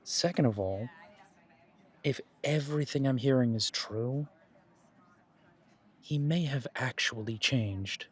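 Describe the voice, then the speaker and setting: A man's voice: medium pitch, slow pace, pauses often, slightly rough. A man playing a caring, easygoing werewolf pack leader in an audio roleplay, talking in a casual, conversational way with trailing phrases. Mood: defensive, earnest.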